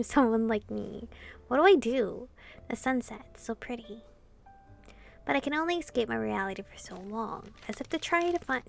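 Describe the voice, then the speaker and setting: A woman's voice: a little high, creaky, fairly bright tone. A woman gamer reading a visual novel aloud expressively, in the style of a casual playthrough.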